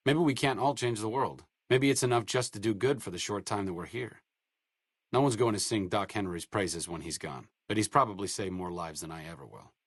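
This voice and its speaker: Casting note: sardonic low-voiced game doctor, middle-aged, dry booth sound. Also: male voice, dry recording. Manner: dry, sarcastic, earnest. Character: wry idealistic male wasteland doctor. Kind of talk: video game voice lines.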